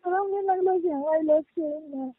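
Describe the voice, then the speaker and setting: A woman's voice: extremely high pitch, normal speed, no echo. A middle-aged woman, scolding and reproachful in a heated phone conversation, often repeating herself. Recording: tinny narrowband phone call recording.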